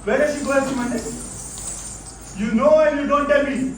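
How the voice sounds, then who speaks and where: A man's voice: very high-pitched, fairly quick. An actor with an Indian accent playing a stern, conservative older father in a stage play, speaking formally and admonishingly. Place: indoor theatre stage. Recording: echoey stage recording, distant mic, faint noise.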